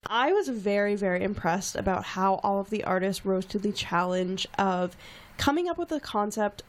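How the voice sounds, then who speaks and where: Female interviewer with a mid-range voice and a friendly, wordy questioning style. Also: mid-range voice. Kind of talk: conversational interview.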